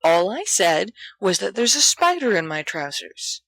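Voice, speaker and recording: A woman reading a storybook as a cautious, patient schoolboy with a hushed, hesitant manner. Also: medium pitch, sing-song, a little slow, no echo, heavily acted, poor audio. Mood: strained patience.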